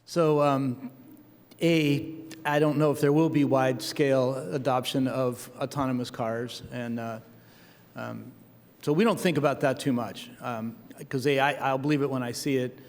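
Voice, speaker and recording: A man's voice: mid-range voice, fairly even intonation, moderate pace, big-room sound. A man on a discussion panel who speaks bluntly and skeptically, with plenty of hesitant fillers. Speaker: panelist, delivery business operator. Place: event hall.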